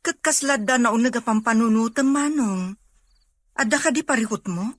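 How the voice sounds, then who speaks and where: A woman playing a concerned, gently probing younger sister in an audio drama. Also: a little high, moderate pace, dry recording, studio quality, natural voice. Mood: concerned, gentle. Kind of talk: amateur Filipino audio drama acting.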